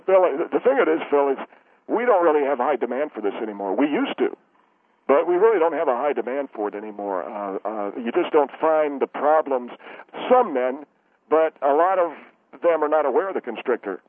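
A man plays a middle-aged salesman on a comedy radio show. His voice is mid-pitched, and he hesitates and rambles. His ideas trail off into ums and uhs. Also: big pitch swings, no echo, phone-quality sound, lightly acted. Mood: rambling. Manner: halting, full of ums and uhs.

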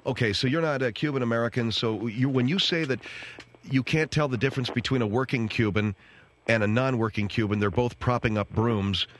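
A man's voice: medium pitch, a little fast, a little hiss or hum. A man hosting a radio call-in show, probing callers with questions. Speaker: radio talk show host.

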